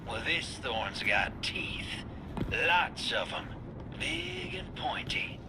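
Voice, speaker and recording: An actor playing a cocky, roguish pirate adventurer in a video game, wisecracking with a laid-back swagger. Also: male voice, mid-range voice, huge pitch swings, takes it slowly, noisy background, exaggerated voice. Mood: playful swagger. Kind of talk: video game cutscene acting.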